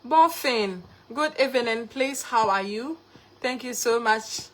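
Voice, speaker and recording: A woman's voice: on the high side, takes it slowly, fairly bright tone, rough recording. A young woman from Ghana with a Ghanaian accent, hosting a live cooking stream and greeting viewers by name with repeated thanks. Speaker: cooking livestreamer.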